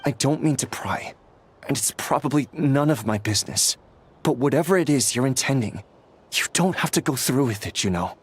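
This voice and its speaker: A man's voice: mid-range voice, lively intonation, normal speed, breathy edge. An actor voicing an earnest, protective young man in a game, who talks bluntly and grows exasperated. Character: earnest, anxious young male master, protective. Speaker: game character.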